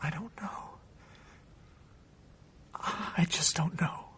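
An actor playing an anguished, browbeaten and bitter stepson, speaking emotionally and haltingly in a radio drama. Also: male voice, a little high, fairly bright tone, in a whisper. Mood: numb, haunted.

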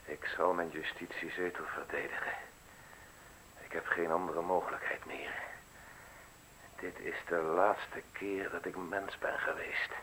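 An actor playing an anxious, cornered justice official who turns threatening, speaking formally and tensely in a Dutch radio play. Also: male voice, low-pitched, fairly even intonation, unhurried, long pauses, bright, forceful tone, a little hiss or hum, rough recording. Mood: bitter, resolute.

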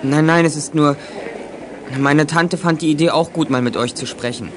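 Young guy as worried earnest schoolboy, slightly high voice, eager, noisy street behind, audio drama. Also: moderate pace, lightly acted. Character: earnest worried schoolboy seeking help for his grandmother. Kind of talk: German youth audio drama acting.

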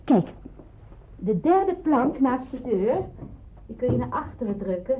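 An actress playing a practical, helpful woman in hiding in a radio drama, explaining things briskly and precisely. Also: on the high side, big pitch swings, a little hiss or hum. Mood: brisk, instructive. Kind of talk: Dutch radio drama acting. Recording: muffled, phone-like old radio recording.

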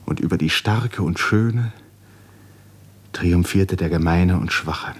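Narrator, male: deep and husky, 1950s literary radio drama, deliberate, dramatic phrasing with hushed falls. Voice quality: breathy, husky.

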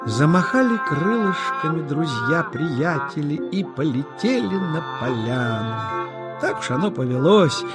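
A man narrating a children's forest tale, gentle and measured, with a slightly high and very lively voice. He reads slowly, and clear music plays underneath. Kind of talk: scripted storybook narration.

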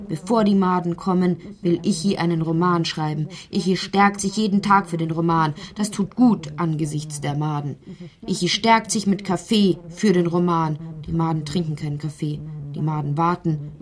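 Actress as a lower-voiced wry storyteller. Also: female voice, lightly acted. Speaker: narrator. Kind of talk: literary radio play narration.

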